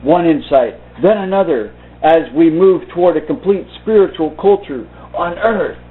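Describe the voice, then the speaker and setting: A man reading aloud and commenting informally in a halting, deliberate way. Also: on the high side. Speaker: video host reading from a book. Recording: muffled phone-like narrow-band sound.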